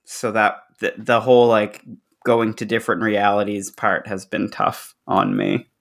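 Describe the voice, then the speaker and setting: A man co-hosting a podcast, chatting informally and a little haltingly as he gives opinions. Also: on the low side, level tone, creaky, a bit bright. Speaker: podcast co-host. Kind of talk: casual chat.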